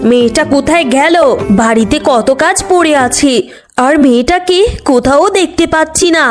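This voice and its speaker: A woman plays a harsh cartoon aunt. Her voice sits very high as she nags with a sharp tongue. Faint music runs underneath. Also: moderate pace, lightly acted. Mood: scolding. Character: harsh, scolding aunt who bullies her orphan niece. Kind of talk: cartoon voice acting.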